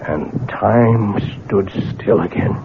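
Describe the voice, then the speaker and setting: An actor playing an obsessed, guilt-ridden, irritable man in a 1970s radio mystery drama, theatrical and halting under questioning. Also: lowish voice, gravelly, heavy background noise. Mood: brooding. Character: obsessed, guilt-ridden, irritable male clockmaker narrating his own tale. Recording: old radio broadcast, narrow band, loud music.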